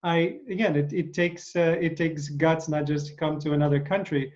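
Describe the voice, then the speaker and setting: A man from Ukraine, hosting an interview and asking questions in a halting way, full of fillers. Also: on the high side, fairly even intonation, a little mellow, dull audio. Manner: halting, many fillers, encouraging. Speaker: interview host. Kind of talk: casual interview.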